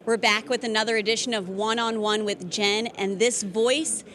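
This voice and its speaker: A woman's voice: mid-range voice, fairly even intonation, moderate pace, a bit bright. An American woman presenting and interviewing in a sports broadcast style.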